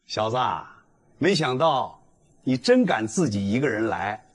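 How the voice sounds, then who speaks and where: Man acting a gangster, very raspy, lively pitch swings, sly, threatening, animated drama. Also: unhurried. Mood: taunting. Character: menacing male gang criminal, sly and threatening.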